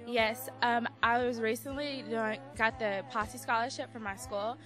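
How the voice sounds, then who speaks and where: A woman's voice: on the high side, fairly even intonation, unhurried. A young woman being interviewed backstage after her talent show set, answering eagerly and gratefully into a handheld mic. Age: young adult. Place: convention backdrop, handheld mic. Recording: music playing behind.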